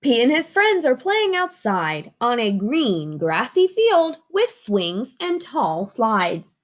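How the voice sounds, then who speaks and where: A woman reading a children's storybook aloud in an expressive way, asking her young listeners questions. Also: on the high side, takes it slowly. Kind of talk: children's storybook read-aloud with sing-along.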